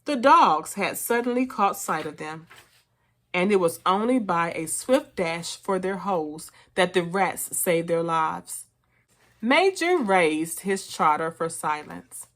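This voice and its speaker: A narrator reading a story aloud for students in a measured, expressive way. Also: mid-range voice.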